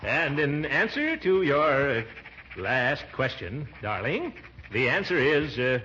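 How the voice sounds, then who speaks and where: An actor playing a pompous, bumbling middle-aged uncle in 1940s radio comedy, hemming and theatrical. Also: male voice, mid-range voice, big pitch swings, pushed and bright, heavily acted. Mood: halting, dictating. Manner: hemming, halting, theatrical delivery. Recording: dull, noisy 1940s radio recording.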